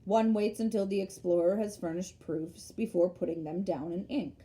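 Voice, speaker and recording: A woman storyteller reading a children's classic aloud in an expressive narrating style. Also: fairly low pitch, normal speed.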